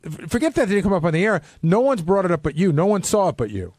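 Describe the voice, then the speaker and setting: A man's voice: high voice, very animated, quick pace, darkish tone, no room sound. A man who is a radio show regular, talking in an insistent, challenging way in an unscripted studio discussion.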